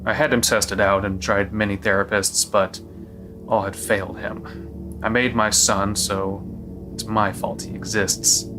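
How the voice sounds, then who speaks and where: Guy playing a resigned dad, kinda low voice, explains creepy stuff matter of factly, horror story, music loud under it. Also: level tone, measured pace, natural voice. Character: resigned, matter-of-fact father of a horrifyingly weird son. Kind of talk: scripted horror audio drama narration.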